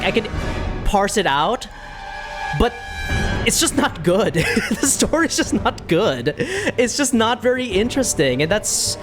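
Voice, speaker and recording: A game streamer reviews in a casual, conversational way, thinking aloud as they go. The voice sits at a medium pitch. Music plays behind the talk. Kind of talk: casual opinion chat to livestream viewers.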